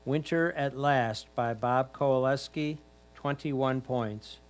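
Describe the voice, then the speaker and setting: A man's voice: mid-range voice, takes it slowly. A man reading out titles and scores as a competition announcer, in a formal announcing style.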